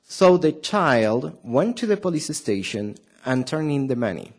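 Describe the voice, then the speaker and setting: Argentine guy preaching to a church, strong spanish accent, slow and halting, lots of ums, asks the crowd stuff. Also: medium pitch, big pitch swings. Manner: hesitant, many fillers and restarts, asks the audience questions. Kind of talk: sermon to a congregation.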